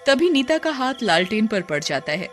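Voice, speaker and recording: A woman's voice: a little high, very animated, moderate pace, soft background music. A woman giving scripted narration for a scary cartoon story.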